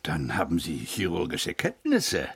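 A sardonic male police investigator in a radio crime play. His voice is husky and gravelly, with lively swings of pitch. He questions pointedly and lets insinuation hang in every phrase. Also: unhurried, full character voice. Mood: probing. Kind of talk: German radio crime drama.

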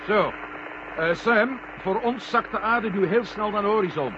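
A man's voice: sits high, a little slow. A man playing a firm, cautious astronaut commander in a vintage science fiction radio play. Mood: businesslike, firm. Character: male moon-mission astronaut commander, firm, cautious, sometimes confused. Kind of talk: 1970 Dutch science fiction radio drama acting. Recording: muffled, noisy old radio play recording.

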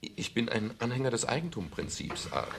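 A man's voice: mid-range voice, sing-song, fairly quick, gravelly, a bit bright, breathy edge, exaggerated voice. An actor playing a dry, laconic male private detective who is hesitant but unflappable, in a German radio crime drama. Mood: sheepish, defensive. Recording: noisy 1970s radio drama recording.

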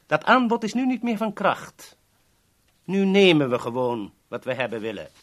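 An actor in a 1970s radio play voicing a polite, formal boss who is coolly threatening. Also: male voice, on the high side, sing-song, moderate pace. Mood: firm, threatening. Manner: formal, courteous, smoothly threatening.

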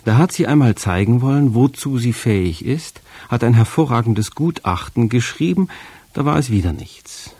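A man's voice: mid-range voice, expressive, moderate pace, warm tone, slightly rough, dry recording. A man reading a scripted introduction to a literary radio programme as the announcer.

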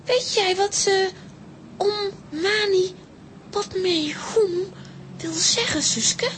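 A woman voicing an earnest, excitable little girl in a children's audio drama, with an emphatic, childlike delivery. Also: extremely high pitch, very slow, slight background noise, full character voice. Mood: puzzled.

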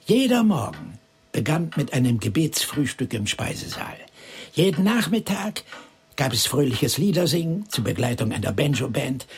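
An actor playing an eccentric, excitable brother in a radio drama, with an emphatic, theatrical delivery. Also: male voice, on the high side, normal speed, a little hiss or hum. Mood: declaiming recollection.

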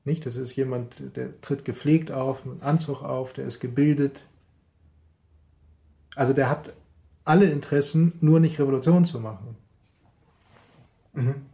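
A man speaks as a commentator, thinking aloud in short explanatory bursts. His voice is dark, relaxed and of medium pitch. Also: unhurried, creaky, pauses often. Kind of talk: spontaneous spoken commentary.

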